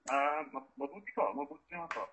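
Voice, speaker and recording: A man's voice: a little high, quick pace, a bit bright. A Filipino-accented man in a phone conversation, answering briefly, politely and hesitantly. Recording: dull lo-fi phone call recording.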